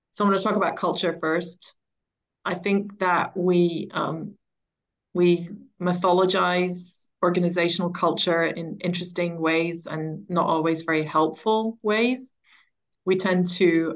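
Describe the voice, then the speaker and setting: A middle-aged woman speaking as an interview guest, explaining her work in a conversational way. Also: lowish voice. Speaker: interview guest, education coach.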